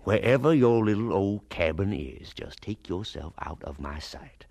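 A mean bitter teacher, low voice, swoops all over, sneering and stern, old radio play style. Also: male voice, expressive, measured pace, vocal fry, darkish tone, full character voice. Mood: contemptuous. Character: bitter, scornful adult male schoolteacher, sarcastic and domineering. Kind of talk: radio drama acting.

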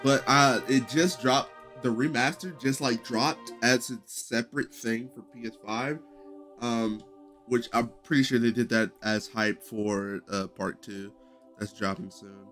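A young man with a low voice chatting loosely to his stream audience from a headset in his bedroom, rambling with many fillers and restarts. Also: fairly even intonation, measured pace, fairly bright tone, faint music behind. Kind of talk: casual live-stream chat. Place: small bedroom streaming setup indoors.

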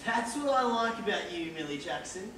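An actor playing a cantankerous, foul-mouthed old care-home resident in a stage play, with a blunt, mocking manner. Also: male voice, high-pitched, animated, moderate pace, fairly bright tone. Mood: gruff, resigned. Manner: sardonic, blunt, needling. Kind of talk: amateur stage play acting. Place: theatre stage, indoors. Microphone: distant camera microphone. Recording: echoey theatre stage from far off, faint noise.

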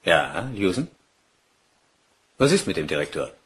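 A man's voice: sits low, big pitch swings, a little slow, fairly bright tone, heavily acted. A man playing a commanding police superintendent in a German crime radio play, speaking in a clipped, authoritative way. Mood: businesslike. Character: commanding, brisk male police superintendent giving orders.

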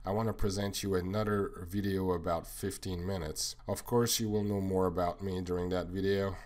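A man's voice: deep voice, flat delivery, moderate pace, a little mellow. A man from Quebec with a French-Canadian accent, French his first language, earnestly explaining a slide presentation item by item. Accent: Québécois French. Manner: earnest, plain explanatory, lists items one by one. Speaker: sales manager.

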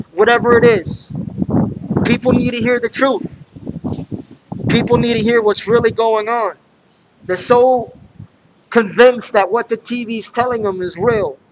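A man's voice: very high-pitched, a little hiss or hum, low-quality recording. A man, a musician, speaking earnestly at an outdoor vigil, often asking "you know what I'm saying?". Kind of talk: impassioned street speech. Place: outdoor square in front of city hall.

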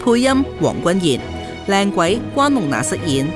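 A woman's voice: medium pitch, very animated, moderate pace. A woman announcer delivering a scripted credits announcement. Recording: loud music under the voice.

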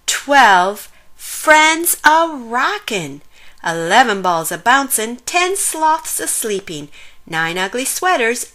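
A woman reading a rhyming children's picture book aloud in an animated, sing-song way with drawn-out emphasis. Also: fairly high pitch. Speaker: children's story reader.

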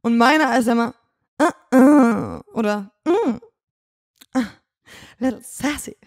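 A young woman musician, energetic and sassy, laughing often and bursting into song, talking into a handheld mic through auto-tune in a dark studio. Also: on the high side, takes it slowly, no room sound. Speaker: musician guest. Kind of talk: playful interview, singing through auto-tune. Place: dark studio with purple lighting. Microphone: handheld mic, wearing headphones.

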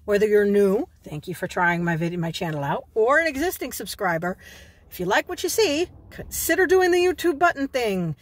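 A woman's voice: mid-range voice, sing-song, normal speed, no echo. A woman in her forties from New York, vlogging casually from a parked car into a phone held at arm's length, chatty and given to digressions.

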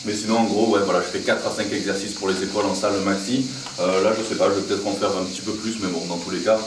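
Male fitness vlogger, thirties, low voice, casual chat, picked up afar in a noisy room. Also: steady tone, fast talker. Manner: informal, conversational. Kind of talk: casual vlog chat about training and diet. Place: home: open living area and garage-like home gym. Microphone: camera mic at a distance. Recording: echoey, distant camera mic with background noise.

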